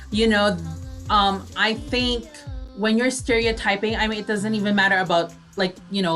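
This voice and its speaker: A woman's voice: medium pitch, fairly bright tone. A woman co-hosting an online radio show in a casual, conversational way. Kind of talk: casual chat. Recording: music playing behind the voice. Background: background music.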